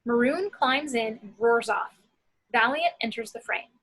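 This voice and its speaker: Woman, high bright voice, husky edge, scripted screenplay narration. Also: unhurried, rough recording. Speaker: action-description reader.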